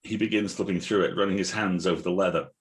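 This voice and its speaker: A man with a low, husky voice narrates a script. He reads out stage directions for an audio drama. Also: steady tone, fast.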